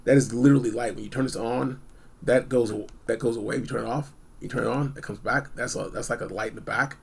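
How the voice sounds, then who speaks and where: A man giving a casual, unscripted gadget review, blunt and informal, rambling and repeating phrases for emphasis, with a gravelly, husky voice. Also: medium pitch, fairly even intonation, quick pace. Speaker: product reviewer.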